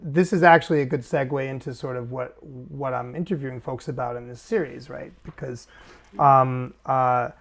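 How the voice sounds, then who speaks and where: A middle-aged man interviewing an artist, asking long, halting, rambling questions. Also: medium pitch, steady tone, creaky. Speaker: interviewer, editor. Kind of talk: casual interview conversation.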